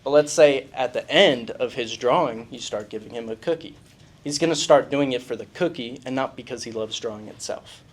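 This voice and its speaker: Man giving a relaxed talk. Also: medium pitch, fairly quick, slightly rough. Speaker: student presenter. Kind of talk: class presentation. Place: college event room.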